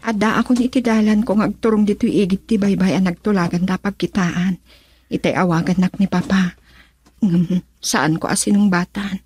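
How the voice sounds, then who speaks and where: Woman acting a husky, earnest young Filipino daughter. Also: mid-range voice, fairly even intonation, moderate pace, darkish tone, close to an everyday voice. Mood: worried. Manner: earnest, pleading. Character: defiant young daughter in love, pleading with her father. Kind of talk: Filipino radio drama acting.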